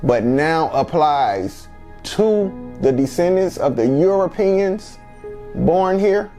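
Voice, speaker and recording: A man reading a definition aloud and explaining it slowly and deliberately. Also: a little high, lively intonation, mellow, soft background music. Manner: slow and deliberate, rhetorical.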